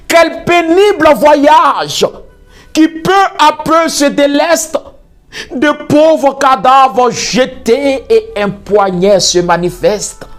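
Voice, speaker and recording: A poet reciting spoken-word verse in a solemn, declamatory way with theatrical emphasis. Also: medium pitch. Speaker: slam poet. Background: music under the voice.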